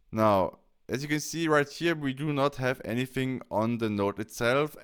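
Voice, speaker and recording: Low, smooth-voiced man explaining software. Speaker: tutorial presenter. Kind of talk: software tutorial screencast, explaining step by step.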